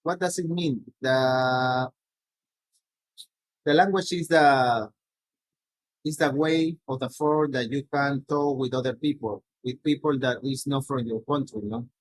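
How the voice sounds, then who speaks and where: A middle-aged man from Peru, a native Spanish speaker with a Peruvian accent, giving a slide presentation in a halting way with many restarts and "no?" tags. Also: medium pitch, no room sound, rough recording.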